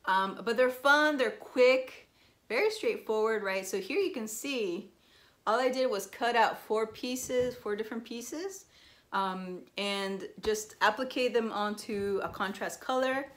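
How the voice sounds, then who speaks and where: A woman's voice: a little high, a little slow, fairly bright tone. A woman whose first language is Spanish, chatting in a bubbly, gushing way as she shows off her crafts. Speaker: crafting vlogger. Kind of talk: casual show-and-tell chat.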